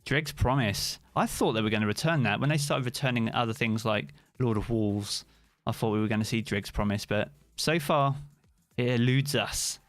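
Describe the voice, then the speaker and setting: A British man chats loosely with his viewers during a live stream, answering their questions by name. His voice sits at an ordinary middle pitch and is recorded dry and close. Soft music plays faintly underneath. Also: moderate pace, dry recording. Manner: chatty, relaxed, answers chat by name. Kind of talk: casual live-stream chat reading viewer comments.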